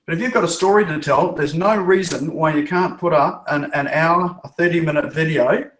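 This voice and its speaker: A man's voice: fairly high pitch, normal speed. Chatty New Zealand-accented man, echoey room. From Norfolk Island. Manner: chatty, restarts and repeats words. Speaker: web developer guest. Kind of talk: interview answers.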